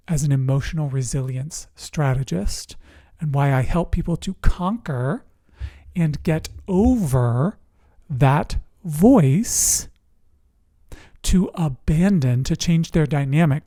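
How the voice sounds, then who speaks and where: A resilience coach telling personal stories in a motivational talk, speaking earnestly and deliberately in short phrases with pauses. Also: mid-range voice, dark tone, no room sound, clean studio sound. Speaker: host, emotional resilience coach.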